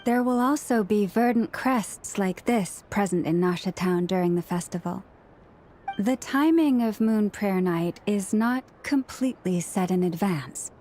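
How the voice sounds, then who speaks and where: An actress playing a gentle, poised young priestess in a video game, speaking in a measured, polite, composed way. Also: female voice, medium pitch, a little hiss or hum, close to an everyday voice. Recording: music under the voice.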